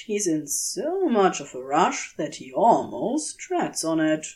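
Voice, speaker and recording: A woman narrates fiction at an unhurried pace. Her intonation moves widely up and down, and her delivery is gentle and deliberate, with soft phrase endings. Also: lowish voice. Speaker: audiobook-style fan fiction reader. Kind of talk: expressive scripted story narration.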